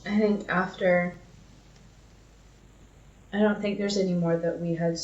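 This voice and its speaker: A woman's voice: fairly low pitch, fairly even intonation, unhurried. A woman co-hosting a podcast in casual, sweary, giggly chat, recounting personal stories. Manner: informal, sweary, often giggly. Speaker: podcast co-host. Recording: echoey room, slightly dull sound.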